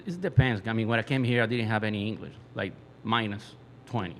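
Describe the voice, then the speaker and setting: A lower-voiced man speaks English with a Venezuelan Spanish accent, his first language being Spanish. He talks haltingly, stopping to search for words. A faint hum of noise sits beneath him. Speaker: metal musician guest.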